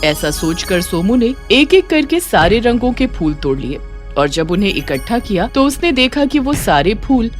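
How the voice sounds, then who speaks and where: A woman narrating a scripted children's moral story in an expressive storytelling manner. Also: mid-range voice, normal speed. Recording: faint music behind.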